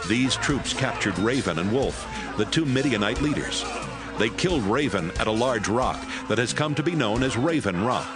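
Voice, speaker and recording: Low-voiced male narrator over music. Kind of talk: scripted dramatized audio Bible narration.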